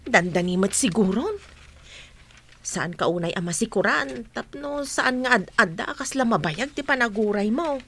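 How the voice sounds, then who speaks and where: High, bright, protective nanny played by a woman. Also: lively intonation, moderate pace, slightly rough, exaggerated voice. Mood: comforting. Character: protective, loyal household nanny, respectful but firm. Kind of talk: Ilocano radio drama acting.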